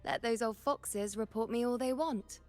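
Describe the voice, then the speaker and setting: A woman's voice: on the high side, a little slow, darkish tone, close to an everyday voice. A woman playing a playful, irreverent young priestess with cocky defiance in an anime game. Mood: cocky, teasing. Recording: game audio over background music.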